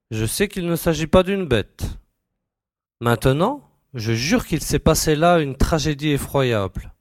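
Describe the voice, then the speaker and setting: A man's voice: fairly high pitch, no echo. Keen male reporter-sleuth, dry close sound acted. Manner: emphatic, repeating words, declarative. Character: keen, self-assured young male reporter turned sleuth. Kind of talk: audiobook drama acting.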